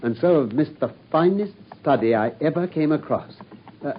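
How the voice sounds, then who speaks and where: An actor playing a sharp, brilliant male detective who talks in a brisk, commanding way, in a BBC radio drama. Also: fairly high pitch, a little hiss or hum, close to an everyday voice. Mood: animated. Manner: brisk, incisive, commanding, lively intonation. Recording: dull lo-fi old radio broadcast.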